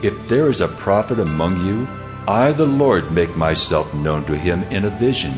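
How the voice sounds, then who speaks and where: God, very deep and commanding. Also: male voice, animated, normal speed. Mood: solemn, commanding. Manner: grave, authoritative, declamatory. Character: God, commanding, solemn, stern divine male voice. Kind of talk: dramatized scripture reading. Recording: music bed under the voice.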